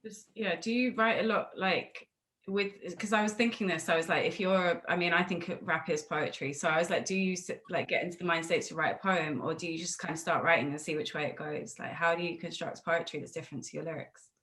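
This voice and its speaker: A woman's voice: fairly low pitch, a little fast, a bit bright. A woman hosting a casual music interview over a video call, talking informally and thinking aloud as she asks her questions. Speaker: interviewer, music show host.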